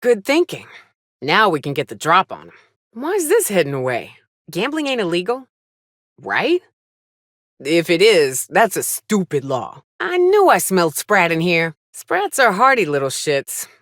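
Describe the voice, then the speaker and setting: Tough female monster hunter, video game character, lowish voice, very animated delivery, folksy slang, blunt, sardonic, caring underneath. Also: unhurried, no room sound, studio quality, exaggerated voice. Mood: wry, sardonic.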